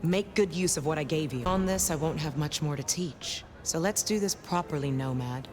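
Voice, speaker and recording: A woman's voice: low-pitched, normal speed, darkish tone, faint music behind, natural voice. An actress playing a domineering, teasing, sardonic vampire woman in a video game, commanding and mocking. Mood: smug, seductive. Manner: commanding, mocking, casual slangy wording.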